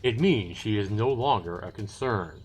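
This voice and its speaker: A man's voice: fairly low pitch, lively intonation, normal speed, soft background music, natural voice. A man voicing an arrogant male elf captor from a visual novel, curt and condescending. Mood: taunting.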